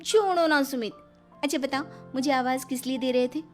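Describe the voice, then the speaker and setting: A woman's voice: high voice, moderate pace, faint music behind, natural voice. A woman voicing a bold young daughter-in-law with dramatic action-hero swagger in story dialogue. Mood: teasing. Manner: dramatic, quotes film dialogue.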